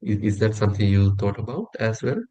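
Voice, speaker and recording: A man hosting a business podcast, asking long questions in a measured, hesitant way with many "uh"s and repeated words. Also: on the low side, mellow, no room sound. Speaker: podcast host. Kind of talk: interview questions.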